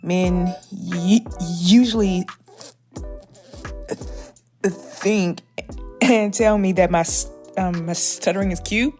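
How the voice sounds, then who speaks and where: A woman's voice: on the low side, fairly even intonation, takes it slowly, pauses often, a little mellow, faint music behind. A woman who stutters, with many repetitions and fillers, speaking frankly about her own experience. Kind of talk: personal recorded testimony.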